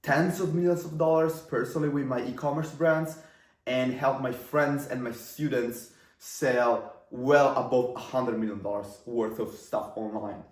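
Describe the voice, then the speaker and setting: A man's voice: medium pitch, steady tone, unhurried, reverberant, distant mic. A man in his twenties with an Italian accent, whose first language is Italian, talking casually to camera about marketing in a rambling way with fillers and restarts. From Italy. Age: 20s.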